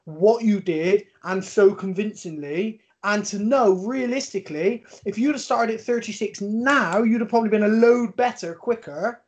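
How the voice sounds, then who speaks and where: A man's voice: sits high, moderate pace, hardly any pauses. A man in his thirties with an English accent, hosting a podcast as casual chat, wordy and thinking aloud. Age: 30s. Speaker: podcast host, MMA coach. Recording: dull, lo-fi sound.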